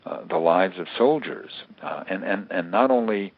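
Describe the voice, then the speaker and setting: An older American man giving considered, analytical interview answers in a halting, deliberate way, repeating words for emphasis. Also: low voice, expressive, husky. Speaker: interview guest, author and security analyst. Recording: narrow tinny phone line.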